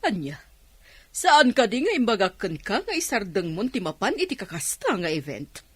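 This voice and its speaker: A woman's voice: mid-range voice, very animated, moderate pace, a bit bright, slightly rough. A woman with an Ilocano Filipino accent playing a strict, nagging, worried mother in a radio drama. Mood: scolding.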